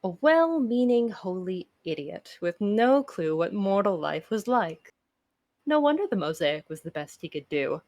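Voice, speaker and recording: A woman's voice: medium pitch, sing-song, a little slow, a little mellow. A woman voicing a bitter, self-important female adventurer in a visual novel, whiny and dramatically sardonic. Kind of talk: acted visual novel narration.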